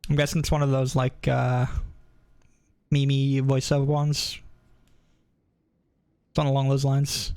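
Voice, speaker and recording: A man's voice: medium pitch, little pitch movement, a little slow, pauses often, darkish tone, no room sound. A man chatting casually on a live game stream, rambling and often interrupting himself.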